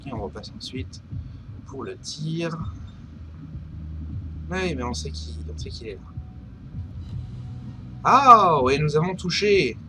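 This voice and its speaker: A man's voice: on the high side, takes it slowly, long pauses, noisy background. Man with a cold commentating a game. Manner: chatty, hesitant with many fillers, bursts of excitement at hits. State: has a cold. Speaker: gaming commentator. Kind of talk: live gameplay commentary.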